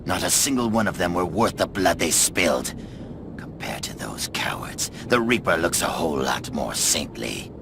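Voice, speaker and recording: An actor playing a hardened, world-weary male warrior in a game cutscene, gravelly, cynical and brooding, often close to a whisper. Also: low voice, lively intonation, noisy background. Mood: brooding, bitter. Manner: slow, brooding delivery, often dropping near a whisper. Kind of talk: dramatic video game cutscene acting and narration.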